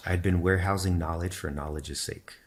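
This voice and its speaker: A man whose first language is Spanish, a podcast guest thoughtfully telling his own story in a measured, explanatory way. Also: low voice, fairly even intonation, husky. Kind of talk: reflective personal storytelling in conversation.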